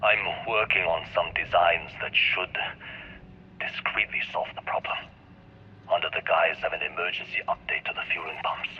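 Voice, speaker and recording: Brief: male actor for a guarded, calculating engineer, very low voice, measured, dull muffled game log. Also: fairly even intonation, close to an everyday voice. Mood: guarded, matter-of-fact. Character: secretive, calculating male grav drive engineer. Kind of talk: video game acting. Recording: muffled, faintly noisy audio log.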